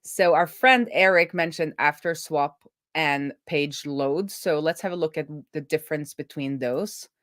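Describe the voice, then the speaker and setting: A woman's voice: sits low, fairly bright tone, dry recording, studio quality. A woman developer casually thinking aloud as she codes, often breaking off mid-thought and correcting herself. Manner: halting, trailing off mid-thought and self-correcting. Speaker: developer hosting a live coding stream.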